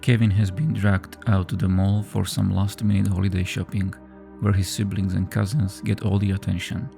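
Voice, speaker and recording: A man reading a scripted horror story as a narrator, in a measured, subdued storytelling manner. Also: deep voice, flat delivery, vocal fry, mellow. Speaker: creepypasta narrator. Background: background music.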